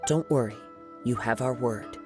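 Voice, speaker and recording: A man playing a calm, polite young wandering samurai in a video game, speaking in a measured, formal way. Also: mid-range voice, natural voice. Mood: reassuring. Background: background music.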